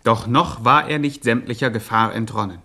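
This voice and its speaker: An actor playing a melodramatic co-narrator of a radio crime serial, ominous and declaiming. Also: male voice, mid-range voice, moderate pace, creaky, bright, forceful tone. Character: suspenseful, melodramatic male co-narrator.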